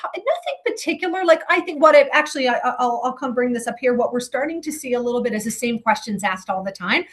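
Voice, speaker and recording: A woman's voice: fairly high pitch, expressive, fast talker. A woman answering interview questions as a guest, conversational and earnest, explaining her advice in a tentative, thinking-aloud way.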